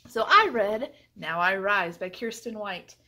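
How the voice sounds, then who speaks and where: A woman's voice: medium pitch, lively intonation, unhurried, sharp tone. Chatty, effusive woman reviewing books. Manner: effusive, emphatic, often repeats phrases for emphasis. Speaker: book reviewer. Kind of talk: casual chatty book review vlog.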